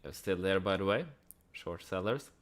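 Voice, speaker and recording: A man's voice: low-pitched, moderate pace. A man giving casual investment commentary in a conversational way, with rhetorical questions and dry asides. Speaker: host of a stock analysis channel.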